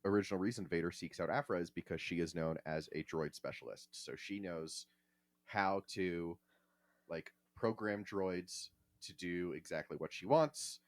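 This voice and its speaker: A man with a deep voice, talking as a podcast co-host. He explains casually, piecing his thoughts together haltingly. The recording is dry and free of room sound. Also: no echo.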